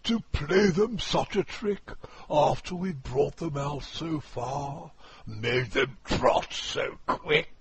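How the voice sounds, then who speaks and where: A man voicing a greedy, pompous old walrus, declaiming theatrically and shouting his lines in a storybook poem reading. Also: a little high, takes it slowly, slight background noise, pitched above the performer's own voice. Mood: mock sorrowful.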